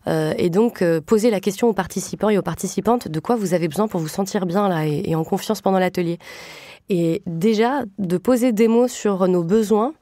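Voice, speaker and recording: Woman, low mellow voice, relaxed explaining with fillers, dry close recording. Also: brisk, dry recording. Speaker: guest, improv workshop leader. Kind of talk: podcast discussion.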